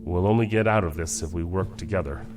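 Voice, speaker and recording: An actor playing a stern, decisive starship captain with a curt, commanding manner in a science fiction audio drama. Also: male voice, sits low, fast, music in the background, natural voice. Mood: resolute.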